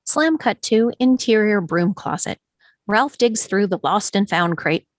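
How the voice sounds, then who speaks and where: A woman's voice: medium pitch, lively intonation, unhurried, no room sound. Woman narrator, very dry sound. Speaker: narrator reading stage directions. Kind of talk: scripted narration at a table read.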